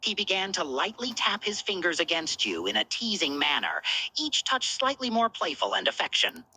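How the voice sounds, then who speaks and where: A woman reads scripted romance narration. Her voice is very bright, with lively pitch movement and a slightly husky grain. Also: moderate pace, no room sound, rough recording.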